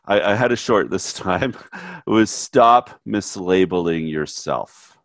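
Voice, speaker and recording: A man hosting a conversational faith and psychology podcast, a little stuffy from a cold, relaxed, with frequent fillers and easy laughs. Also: low-pitched, unhurried, no room sound, clean studio sound. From United States. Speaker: podcast co-host, therapist.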